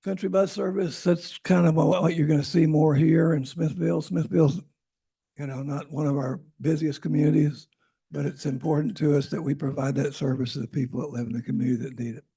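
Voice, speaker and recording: A man's voice: fairly high pitch, fairly even intonation, fairly quick, gravelly, warm tone, slightly rough. A man giving an informal, rambling presentation at a public meeting, often correcting himself as he goes. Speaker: transit agency presenter. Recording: Zoom meeting audio.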